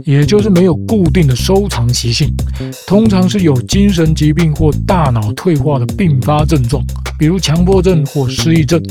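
A man hosting a children's show, explaining ideas in a calm, patient, teacher-like way. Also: fairly high pitch, moderate pace, music in the background. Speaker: host, the show's dad figure.

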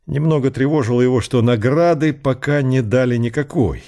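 A man reading literary narration in an unhurried, characterful storytelling manner. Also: mid-range voice, warm tone. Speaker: narrator of an audio drama.

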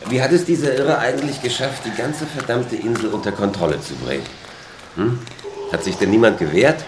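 An actor playing a wry, questioning survivor in a German radio drama. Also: male voice, medium pitch, fairly quick, close to an everyday voice. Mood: incredulous. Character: wry, questioning man among fleeing survivors. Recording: echoey space, clear background noise.

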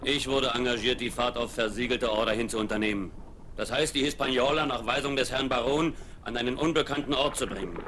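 A man's voice: mid-range voice, fairly quick, lightly acted. A man playing a blunt, stern ship captain. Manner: blunt, formal, serious. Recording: hissy 1970s vinyl radio play.

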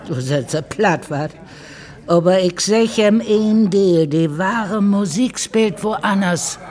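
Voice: mid-range voice, takes it slowly, a little mellow, close to an everyday voice. A performer playing an ancient, deaf village innkeeper woman, blunt and sardonic, with a folksy northern German accent, in an audio drama.

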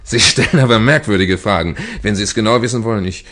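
An actor in a German radio drama playing a nervous, evasive, polite caretaker who stammers and hesitates. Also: male voice, fairly low pitch, quick pace, fairly bright tone, lightly acted. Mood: flustered, polite.

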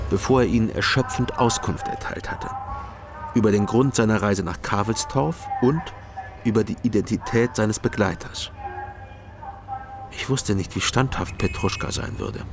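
An actor playing a male former detective who narrates his own story in a measured, dry way, in a radio drama. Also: lowish voice, vocal fry. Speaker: first-person narrator and detective. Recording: radio play over loud music score.

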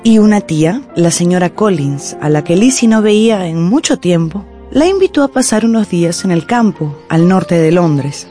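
A woman narrating a literary radio drama in an expressive, deliberate storytelling style. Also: fairly low pitch, soft background music.

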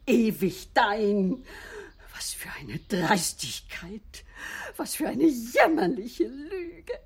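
A woman's voice: a little high, expressive, extremely slow, gravelly, husky, full character voice. An actress playing a bitter, domineering, jealous woman doctor in a radio crime drama, theatrical and venomous. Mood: scornful, anguished. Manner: theatrical, venomous, lurching from hiss to wail.

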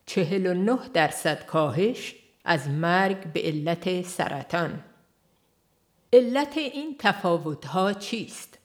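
A woman reading a religious book aloud as an audiobook, in a measured, earnest way that leans on rhetorical questions. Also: fairly low pitch. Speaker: audiobook reader.

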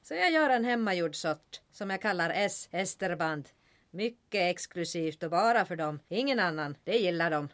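A woman playing a tough, unflappable bar owner in an audio drama, speaking in a brisk, matter-of-fact way. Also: fairly low pitch, lively intonation, fairly bright tone. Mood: sly, matter-of-fact. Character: tough, unflappable female bar owner hiding grim secrets.

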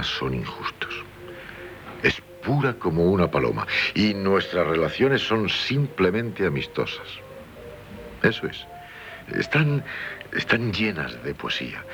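An actor with a Castilian Spanish accent playing a dissolute, passionate gentleman who stammers and repeats himself, in 1970s radio theatre style. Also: rumbling low, vocal fry, heavily acted. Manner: halting, stammering, repeating words. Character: dissolute, guilt-ridden, passionate gentleman in love. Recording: 1970s radio drama with faint music.

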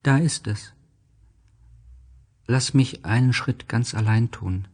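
A man voicing a small, gentle, frightened boy prince in an audio play, speaking in a halting, earnest, plaintive way. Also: medium pitch, pitched above the performer's own voice. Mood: sad, resigned.

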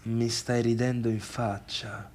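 An actor playing an agitated, mocking misfit in a colloquial, emphatic stage monologue, as if confiding in someone. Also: male voice, mid-range voice, flat delivery, slow pace, darkish tone, a little hiss or hum, lightly acted. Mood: wounded, subdued. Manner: conversational, emphatic, repeats himself, chuckling asides. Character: mocking, agitated man recalling a wild party, self-deluded misfit. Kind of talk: theatrical monologue in colloquial Italian.

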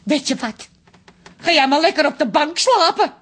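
An actress playing a touchy, insecure middle-aged wife in a radio drama, with a pointed, indirect manner. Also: female voice, high-pitched, animated, normal speed, a bit bright. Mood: hurt, offended. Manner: pointed, indirect, easily offended.

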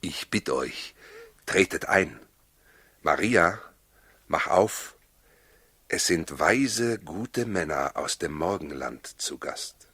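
A man's voice: low-pitched, lively intonation, slow pace, long pauses, fairly bright tone, breathy edge, no echo, exaggerated voice. An actor playing a humble, guarded, plain-spoken husband and father in a 1960s radio drama. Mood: apologetic, welcoming. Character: wary, humble husband guarding his newborn child.

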